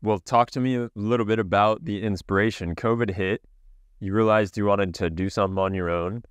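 A man hosting a podcast, asking questions in a brisk, quick manner. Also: on the low side, fairly even intonation, clean tone, no echo. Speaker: podcast host. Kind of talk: podcast interview.

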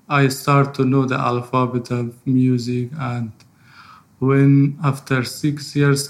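Man, thirties, Afghan accent, native Farsi speaker, halting English, slow pace, mid-pitched, personal testimony. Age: 30s. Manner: halting, non-fluent English. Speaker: musician telling his life story.

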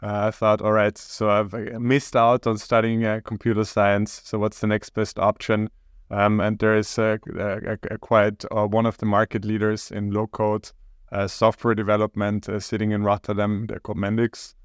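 A man from Austria, a startup co-founder talking as a podcast guest in a hesitant, halting way. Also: lowish voice, monotone, no echo, studio quality. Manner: hesitant, halting, many pauses. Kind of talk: casual interview talk.

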